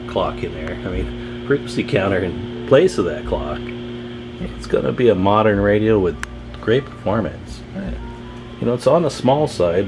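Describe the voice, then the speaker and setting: A man's voice: sits low, rough recording. A man talking informally and thinking aloud as he gives a casual hands-on demonstration as a radio repair hobbyist.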